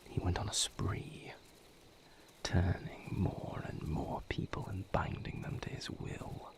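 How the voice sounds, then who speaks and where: A man playing a weary vampire lover who confides a painful past in a hushed, halting, solemn voice, as an intimate audio roleplay. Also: sits low, fairly even intonation. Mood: somber, haunted. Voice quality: hushed, breathy whisper with gravelly creak.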